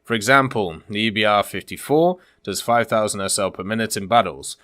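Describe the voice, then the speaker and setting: A man with a fairly low voice delivers casual gaming commentary. He shifts between reading text out and explaining it conversationally, with small asides along the way. The sound is close and dry, with very little room in it. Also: a little fast, fairly bright tone, dry recording. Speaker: gaming content creator and host.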